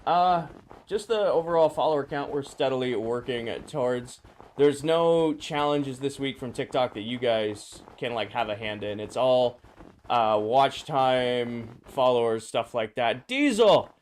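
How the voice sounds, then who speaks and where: A man streaming a game, his voice slightly hoarse and drowsy, casually greeting viewers and answering chat questions. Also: mid-range voice, a little slow, slight background noise. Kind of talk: casual live-stream chat while playing.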